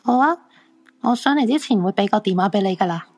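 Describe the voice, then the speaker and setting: An actress playing an eager, self-assured crystal fortune-teller thrilled by ghosts, in a Hong Kong Cantonese radio drama. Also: female voice, mid-range voice, brisk, lightly acted. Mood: helpful, attentive. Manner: eager, friendly, animated.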